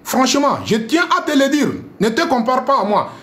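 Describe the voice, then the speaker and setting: A man's voice: very high-pitched, very animated, normal speed, a bit bright, echoey room. A man ranting scornfully on a live stream, repeating himself and mixing French with a local language. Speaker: live streamer addressing viewers. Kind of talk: heated live-stream rant.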